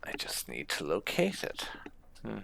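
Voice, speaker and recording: A woman's voice: a bass voice, huge pitch swings, measured pace, creaky, a bit bright, in a whisper. A woman giving casual commentary as she plays a video game, often musing aloud to herself. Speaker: gaming video host. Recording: music behind the voice. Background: game music.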